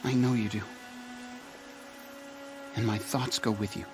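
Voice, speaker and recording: A man playing a gentle, admiring young tribesman in a video game, speaking softly and hesitantly. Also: on the low side, steady tone, unhurried, over music. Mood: earnest. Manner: soft, hesitant, earnest. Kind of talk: video game cutscene acting.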